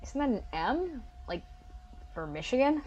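A very high-pitched young student acted by a woman, bewildered and politely sceptical. The delivery is hesitant and deadpan, in the style of a comic audio drama. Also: measured pace, highs cut off. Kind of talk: comedic audio drama acting.

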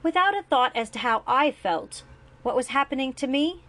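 A woman's voice: on the high side, expressive, unhurried, a bit bright, pitched above the performer's own voice, exaggerated voice. A woman voicing a bitter, exhausted young man who confronts his father with mounting anger, in a read-aloud story. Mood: angry. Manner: sardonic, rising to anger.

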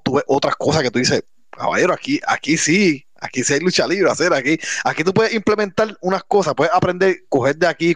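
A Cuban-American man with a slightly high voice, talking in an animated way and stumbling over repeated words. He speaks as a wrestler in a relaxed interview. The recording is dry and close. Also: no echo. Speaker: professional wrestler. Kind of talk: casual interview.

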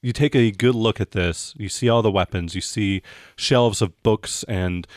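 The man at the head of a game night table, low and close on a dry mic, feeling out each room as he describes it. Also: a little slow, dry recording. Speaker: game master. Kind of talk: narrating a tabletop role-playing game.

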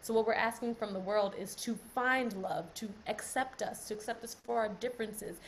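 A woman's voice: on the high side, moderate pace, a little hiss or hum. A woman speaking earnestly on a group call, repeating phrases for emphasis. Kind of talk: heartfelt closing remarks on a call.

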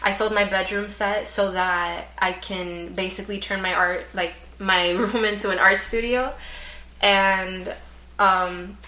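A woman's voice: mid-range voice, steady tone, unhurried, bright, forceful tone, reverberant. A young woman who grew up speaking Spanish, chatting casually as a guest on a podcast, full of fillers and laughs. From United States. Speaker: guest artist.

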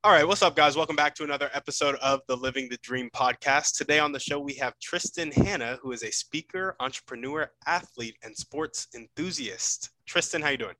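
A man's voice: medium pitch, brisk, few pauses, sharp tone, dry recording, low-quality recording. A man hosting a podcast in a casual, informal and encouraging interview style.

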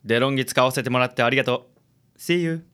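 A man playing a suave, gentlemanly ladies' man in his late thirties, theatrical and flowery, in a radio drama. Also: medium pitch, quick pace, lightly acted. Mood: breezy, suave. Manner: smooth-talking, theatrical, gentlemanly. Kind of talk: Japanese radio drama acting.

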